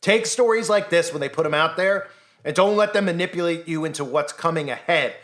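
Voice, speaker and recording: A man's voice: a little high, fairly quick, fairly bright tone. A man talking through a conspiracy commentary monologue, insistent and rhetorical, now and then acting out imagined voices. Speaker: commentary channel host.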